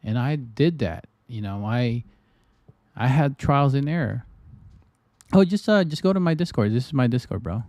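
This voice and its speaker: A man's voice: mid-range voice, lively intonation, normal speed, darkish tone, no room sound. A man from the Philippines casually chatting on his livestream, rambling with frequent restarts and fillers. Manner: loose, repetitive, lots of restarts and fillers. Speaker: trading livestreamer.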